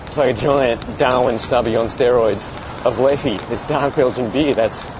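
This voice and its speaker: An Australian man records a casual podcast diary outdoors, with clear traffic and street noise around him. He talks in a blokey, informal way at an ordinary male pitch, swearing mildly as he goes. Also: steady tone, normal speed. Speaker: podcast host. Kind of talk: casual rambling audio diary. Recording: noisy outdoor recording with vehicle sounds.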